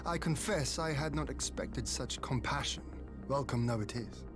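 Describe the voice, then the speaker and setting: A man's voice: mid-range voice, natural voice. A man playing a composed, courteous young lord in a video game, speaking formally and with resolve. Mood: courteous, guarded. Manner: formal, measured, stately. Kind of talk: dramatic video game acting. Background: dramatic music.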